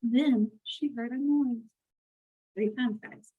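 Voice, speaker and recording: A woman host reading a picture book aloud expressively, talking directly to young listeners in an animated way. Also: a little high, slow, slightly rough, phone-quality sound. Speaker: read-aloud channel host.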